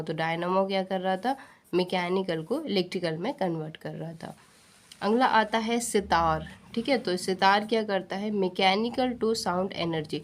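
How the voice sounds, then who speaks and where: Indian woman teacher explaining step by step. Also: mid-range voice. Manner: instructional, asks and answers own questions, repeats key points; mixes Hindi with English technical terms. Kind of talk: explanatory exam-prep science lesson.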